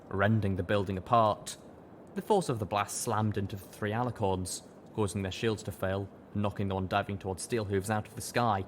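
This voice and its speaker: A man reading first-person fiction aloud with steady, dramatic storytelling. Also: lowish voice, slight background noise. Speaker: audiobook reader.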